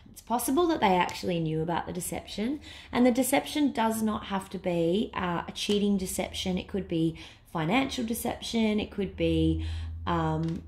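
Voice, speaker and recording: A woman reading tarot cards in a casual way while losing her voice to a cold, thinking aloud with many pauses and fillers and now and then dropping to a whisper. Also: mid-range voice, darkish tone. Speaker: tarot reader.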